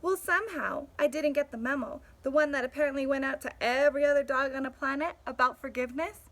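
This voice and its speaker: Streetwise male stray dog played by a woman, storybook narrator, blunt and sardonic, bright, high pitch. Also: quick pace. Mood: defiant. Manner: sardonic, punchy, conversational. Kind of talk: storybook first-person narration.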